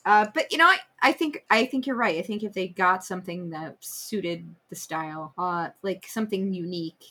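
A woman's voice: lowish voice, moderate pace, sharp tone. Woman chatting as an enthusiastic fan. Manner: enthusiastic, opinionated, informal fan talk. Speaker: podcast co-host. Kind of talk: casual pop-culture discussion.

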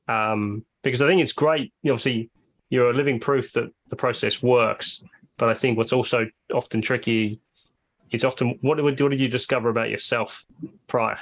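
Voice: medium pitch, creaky. A podcast host asking questions in casual chat and cheering the guest on with short affirmations. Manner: encouraging, frequent short affirmations.

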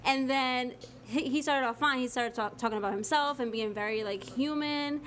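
Outspoken young woman, a bit high. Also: normal speed, fairly bright tone, a little hiss or hum. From Dominican Republic. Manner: candid, outspoken, swears freely. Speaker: podcast co-host. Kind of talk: casual live podcast chat.

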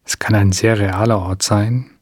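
Male narrator for guided imagery: soothing and unhurried, breathy-husky voice, long pauses, dry studio-like sound. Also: fairly low pitch, steady tone, no echo. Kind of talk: guided meditation, scripted narration.

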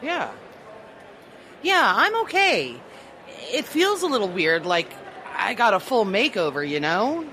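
Audio drama lead, female actor: flippant young earthling, casual, very lively intonation, bright edge. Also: mid-range voice, measured pace, some chatter behind. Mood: breezy, wry. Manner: casual, wisecracking. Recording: faint background ambience noise.

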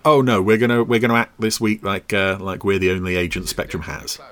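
A man's voice: deep voice, fairly even intonation, brisk, a bit bright. A man giving casual, wry, teasing running commentary on a television episode, often mock-exasperated. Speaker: podcast host commenting on an episode.

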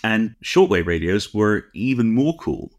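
Male podcast co-host, low register, dry recording, conversational technical explainer, precise and digressive. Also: very animated, a little slow, no echo.

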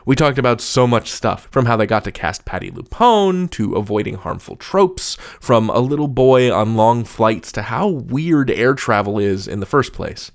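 A man's voice: mid-range voice, lively intonation, moderate pace, gravelly, few pauses. A man hosting a podcast, articulate and wordy, moving between scripted intros and conversational interviewing.

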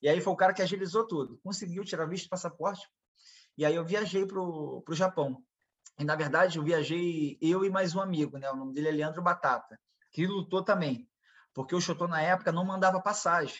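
Brazilian man, MMA fighter guest, husky, a bit high, rambling interview storyteller. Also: fairly quick, a bit bright, lo-fi sound. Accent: Brazilian Portuguese. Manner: rambling, repeats himself, quotes others in dialogue.

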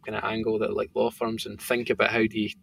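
A man's voice: lowish voice, completely flat delivery, quick pace, vocal fry, low-quality recording. A man with a thick Scottish accent, a company founder talking casually as a podcast guest, rambling with lots of fillers. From Scotland. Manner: rambling, filler-heavy storytelling.

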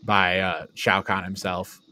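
A man's voice: sits low, flat delivery, takes it slowly, pushed and bright. A man co-hosting a podcast, chatting casually about a film in an exasperated, incredulous, informal way. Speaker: podcast co-host. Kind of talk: casual film review chat.

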